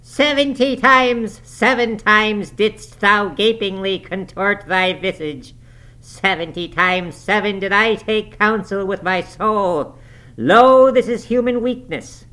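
A man's voice: high voice, slow pace, bright, forceful tone, pitched above the performer's own voice, exaggerated voice. A man voicing a preacher who declaims judgment in archaic, sermon-like speech. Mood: thundering. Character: thundering old preacher judging a sinner.

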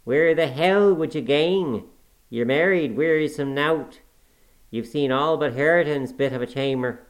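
A man voicing a grumbling, pious old manservant in broad Yorkshire dialect, sneering and scolding with drawn-out words. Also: medium pitch, fairly bright tone, pitched above the performer's own voice. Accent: broad Yorkshire dialect, put on.

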